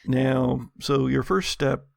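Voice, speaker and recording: An American man working as game master, narrating and ruling on a tabletop game in a measured, descriptive way with deliberate pauses. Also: medium pitch, creaky, no room sound, studio quality. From United States. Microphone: close studio microphone.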